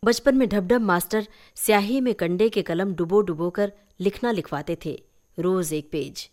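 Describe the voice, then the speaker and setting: A woman reading a literary short story aloud as an expressive, scripted narration. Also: medium pitch, normal speed. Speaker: story reader.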